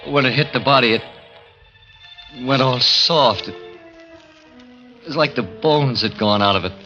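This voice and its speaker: A jittery working man on the run stammers through his story in a piercingly bright, forceful voice. It is 1940s radio horror, heard on a muffled vintage recording. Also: medium pitch, faint music behind. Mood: horrified, dazed. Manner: stammering, halting, plain colloquial speech.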